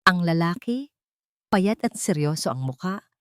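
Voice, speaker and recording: Female storyteller, lowish pitch, dry close sound, scripted drama narration. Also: animated, a little slow, a bit bright, no room sound.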